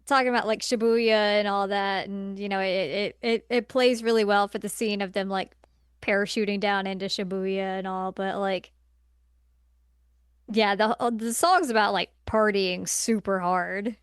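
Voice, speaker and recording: A woman's voice: medium pitch, fairly even intonation, normal speed, clean tone, no echo, clean studio sound. A woman co-hosting a podcast, chatting casually and informally about movies, often hesitating as she thinks aloud. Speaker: podcast co-host.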